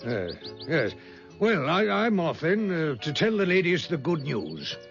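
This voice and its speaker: A gravelly old colonel, hearty and coaxing, his voice riding high and swooping lively through each line. The sound is muffled, an old radio drama with music under it. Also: measured pace. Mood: jovial. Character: genial, sly older male colonel. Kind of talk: 1970s radio drama acting.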